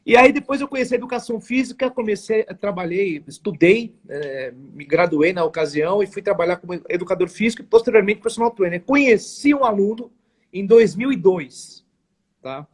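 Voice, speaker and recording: A man in his forties with a São Paulo accent, speaking as a guest on a live interview. His voice sits high for a man and has a husky edge. He tells his story earnestly and emphatically, in formal phrasing with many restarts. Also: expressive, a little fast. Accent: São Paulo Portuguese. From São Paulo, Brazil. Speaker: guest, network marketing entrepreneur.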